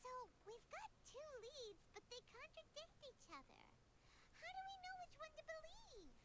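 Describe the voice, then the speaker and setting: A woman voicing a tiny, childlike fairy companion in a video game, squeaky and inquisitive. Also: very animated, moderate pace, heavy background noise. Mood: curious.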